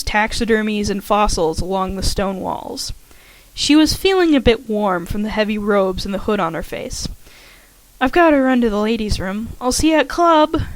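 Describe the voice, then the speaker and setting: A woman reading a story aloud at a middle pitch, careful and a little shaky. The sound is dry and close, with no room behind it. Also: no echo, poor audio. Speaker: fanfiction audiobook reader.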